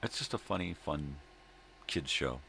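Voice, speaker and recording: A middle-aged man with a gravelly voice hosting a casual solo vlog, halting and repeating himself as he grumbles his opinions. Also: a bass voice, lively intonation, sharp tone. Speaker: host of a daily morning video log. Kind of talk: rambling casual solo chat. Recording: noticeable background noise.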